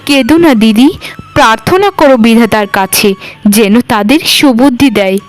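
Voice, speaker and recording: A woman voicing a gentle, devout young sister-in-law who speaks consolingly in a melodramatic cartoon. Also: fairly high pitch, lively intonation, moderate pace, natural voice. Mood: consoling, hopeful. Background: faint music.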